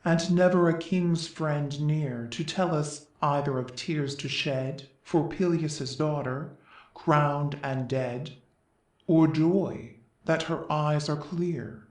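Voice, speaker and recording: Man playing sad greek chorus citizen, slow solemn verse, very echoey. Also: fairly high pitch, fairly even intonation. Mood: mournful reverence. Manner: solemn, elegiac. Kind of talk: verse stage drama. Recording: echoey room.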